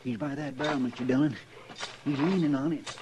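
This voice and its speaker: An actor playing a folksy, earnest, loyal deputy with a rural Western American accent in an old radio drama. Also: male voice, medium pitch, fairly even intonation, a little fast, natural voice. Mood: anxious, stubborn. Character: folksy, excitable, loyal deputy sidekick of the marshal. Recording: noisy, crackly vintage radio recording.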